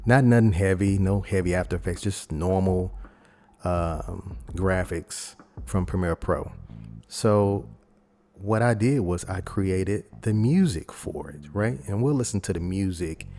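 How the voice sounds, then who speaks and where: A man presenting a software tutorial in a casual, rambling, conversational way, talking informally through each step. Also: low voice, measured pace. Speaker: video editing tutorial host.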